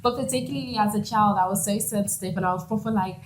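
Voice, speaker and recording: A woman in her twenties from the Netherlands, telling her story as a guest in an interview, animated and quick to laugh. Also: medium pitch, a little hiss or hum, poor audio. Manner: animated, laughs often, acts out quoted lines.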